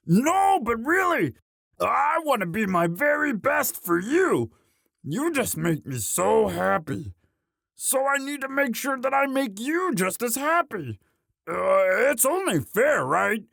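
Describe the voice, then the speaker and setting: A man voicing a bashful, devoted himbo orc boyfriend in a romantic audio roleplay, halting and stammering sheepishly. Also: extremely high pitch, big pitch swings, gravelly, fairly bright tone, no room sound, clean studio sound, exaggerated voice. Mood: sheepish, eager.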